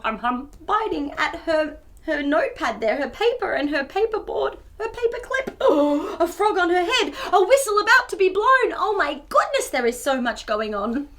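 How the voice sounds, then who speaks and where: A woman hosting a children's storytime, reading a picture book aloud in an expressive, theatrical way and chatting to young viewers. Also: extremely high pitch. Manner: expressive, theatrical, with gasps and exclamations.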